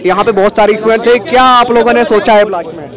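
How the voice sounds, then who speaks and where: A man reporting from a street protest, putting quick questions to students. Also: high-pitched, fairly bright tone. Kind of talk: on-the-spot protest interviews. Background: crowd of protesting students.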